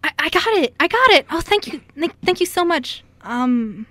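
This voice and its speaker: Woman playing a high-voiced, timid little girl. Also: sing-song, normal speed, pushed and bright, no echo, heavily acted. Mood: eager, delighted. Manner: hesitant, stammering. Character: timid, eager seven-year-old blind girl. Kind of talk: amateur radio play acting.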